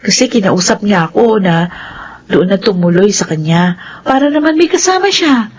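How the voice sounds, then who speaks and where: An actress playing a polite, soft-spoken young woman in a radio drama. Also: mid-range voice, expressive, normal speed, a little hiss or hum, close to an everyday voice. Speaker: narrator and main character. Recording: dull lo-fi radio sound.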